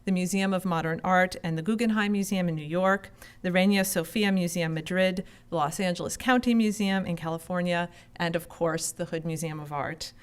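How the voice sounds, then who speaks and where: A woman hosting a museum lecture, giving a measured, formal introduction of the speaker. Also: on the low side, smooth.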